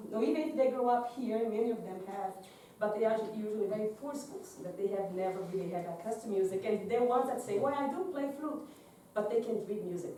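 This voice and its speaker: A woman's voice: mid-range voice, darkish tone, very echoey, far from the mic, a little hiss or hum, low-quality recording. A woman from Brazil with a Portuguese accent, a doctoral student giving frank panel remarks with frequent self-corrections. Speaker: doctoral student, church music director.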